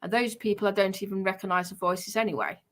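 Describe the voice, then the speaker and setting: A woman chatting casually and explaining in a halting way, repeating phrases for emphasis. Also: mid-range voice, steady tone.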